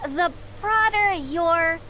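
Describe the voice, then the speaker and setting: A woman's voice: extremely high pitch, drawn-out words, pushed and bright, a little hiss or hum, exaggerated voice. A woman voicing a cheerful, organised young female contest announcer in a video game. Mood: halting, puzzled. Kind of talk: video game character acting.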